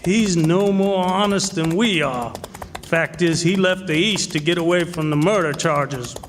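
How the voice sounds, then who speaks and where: An actor playing a shifty, hot-tempered Western outlaw in an old-time radio drama style, with gruff cowboy talk. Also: male voice, a little high, a little slow, lightly acted. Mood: confident, scheming. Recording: echoey hall, noisy with clicking.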